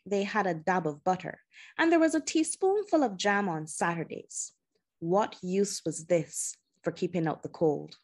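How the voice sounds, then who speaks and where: A woman narrating a children's novel in audiobook style, with measured, deliberate phrasing and pauses. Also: on the low side, darkish tone, slightly rough.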